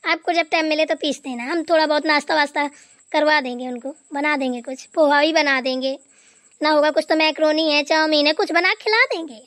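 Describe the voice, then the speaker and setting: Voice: high-pitched, fairly even intonation, brisk, a bit bright, dry recording, clean studio sound. A performer voicing a dutiful, overworked village daughter-in-law in a Hindi cartoon, chatty and deferential. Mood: apologetic. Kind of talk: Hindi family cartoon dialogue.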